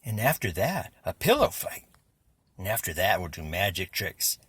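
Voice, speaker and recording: A man's voice: deep voice, sing-song, moderate pace, fairly bright tone, slightly rough, no room sound, full character voice. A man voicing a boisterous young boy who eagerly plans spooky fun in a children's storybook. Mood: excited. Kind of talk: children's picture-book reading.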